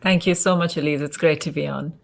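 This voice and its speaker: A woman from India speaks as an interview guest, her voice sitting fairly low. She answers in a measured, thoughtful manner, easing along with soft fillers. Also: fairly even intonation, creaky. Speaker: interview guest, conservation journalist.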